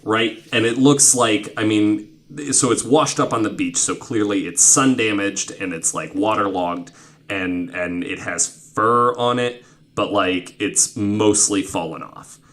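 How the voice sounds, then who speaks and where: A man's voice: lowish voice, measured pace, fairly bright tone. A man in his twenties hosting a podcast, telling stories in a casual, informal, sweary way full of filler "like"s. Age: 20s. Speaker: podcast host. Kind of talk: casual conversational storytelling.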